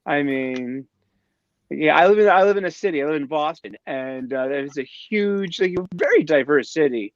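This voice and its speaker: A man, a guest on a casual livestream chat, who talks in halting bits with many restarts and fillers, heard over a video call. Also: on the high side, lively intonation, no room sound, lo-fi sound.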